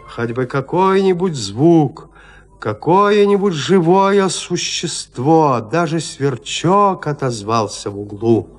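A man narrating a literary audio drama in a measured, suspenseful manner with deliberate pauses. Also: mid-range voice. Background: music.

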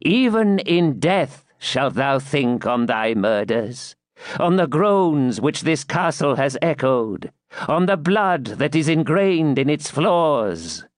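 A man voicing an unearthly spirit-like voice, broken and shrill, drawing out slow accusations. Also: very animated, no room sound, clean studio sound. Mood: accusing.

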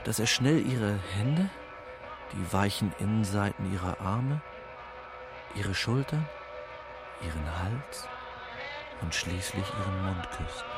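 A man narrating a radio play in a slow, expressive storytelling manner. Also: lowish voice, loud music behind the voice. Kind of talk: scripted narration.

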